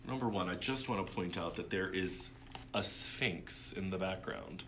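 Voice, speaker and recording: A man reading a children's storybook aloud theatrically, with dramatic pauses and casual asides. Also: sits low, background noise.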